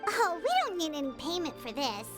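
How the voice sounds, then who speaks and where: A tiny fairy sidekick from a video game. The voice is extremely high and childlike, chirpy and curious, swooping wildly over prominent music. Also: female voice, sing-song, a little fast. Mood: cheerful, inquisitive. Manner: chirpy, refers to self by name, inquisitive. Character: tiny, perky, childlike fairy companion, female.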